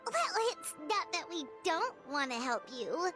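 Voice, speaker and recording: A woman voicing a tiny, chirpy, fairy-like companion creature in a video game, eager and quick to chime in. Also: very high voice, very animated, sharp tone, soft background music, heavily acted. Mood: knowing.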